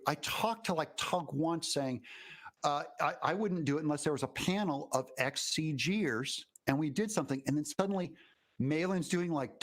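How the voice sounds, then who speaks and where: Male guest in a live debate: somewhat high pitch, rambling delivery that keeps restarting itself. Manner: halting, rambling, self-interrupting. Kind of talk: unscripted live argument.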